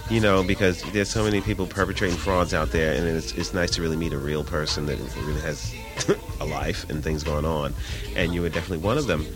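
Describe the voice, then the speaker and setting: A man with a very low voice hosting a radio interview. He is easygoing and laughs often, filling the gaps with murmured agreement. Clear music plays under him. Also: steady tone, a little fast. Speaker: radio host.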